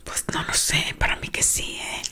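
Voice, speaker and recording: A woman whispering close to the mic on a playful ASMR live stream, greeting chat viewers affectionately and softly repeating words. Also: moderate pace. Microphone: close microphone.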